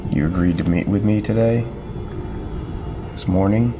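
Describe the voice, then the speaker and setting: An actor playing a calm, courteous but probing police chief with an authoritative manner in an audio drama. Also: male voice, on the low side, a little slow, noisy background, natural voice. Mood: politely insistent. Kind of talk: naturalistic fiction podcast acting.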